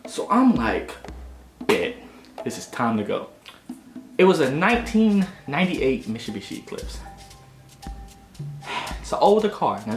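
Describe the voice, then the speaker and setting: A young American man in his twenties casually telling a personal story in an expressive, self-mocking way and acting out dialogue. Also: on the high side. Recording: roomy echo with music playing behind. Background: music.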